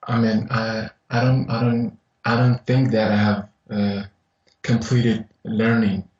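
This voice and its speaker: A university teacher calling in, speaking haltingly with many restarts. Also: male voice, lowish voice, flat delivery, a little mellow, reverberant, recorded from a distance, highs cut off. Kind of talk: caller in a chat.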